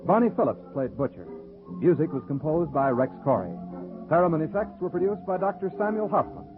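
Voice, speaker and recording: A man's voice: fairly high pitch, big pitch swings, fairly quick. A man announcing in formal 1940s radio style, reading out the programme and credits. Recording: tinny, phone-like old radio recording. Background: theme music.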